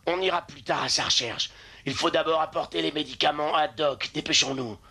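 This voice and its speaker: A man voicing a hopeful, determined sled-dog driver in a dubbed animated film, earnest and emotive. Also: on the high side, brisk, vocal fry. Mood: worried. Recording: light music behind.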